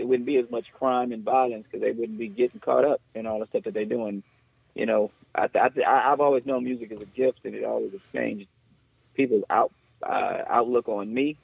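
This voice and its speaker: A man's voice: mid-range voice, gravelly, husky, no echo. A man from Texas, a songwriter, chatting casually on a phone call in a laid-back, drawling way. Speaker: songwriter guest. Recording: dull, lo-fi phone line.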